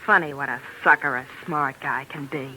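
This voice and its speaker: An actress playing a treacherous, scheming wife in a 1940s radio crime drama. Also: female voice, very deep voice, moderate pace, pushed and bright, heavily acted. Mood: cool, scornful. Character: treacherous, cool scheming wife, a femme fatale. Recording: noisy old 1940s radio recording.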